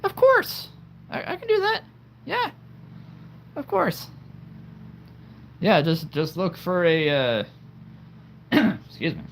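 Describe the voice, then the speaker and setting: A man's voice: high voice, wildly swooping pitch, slow pace, gravelly, long pauses, a little hiss or hum. A man hosting a livestream in casual chat, laughing often and stammering over repeated words. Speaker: livestream host.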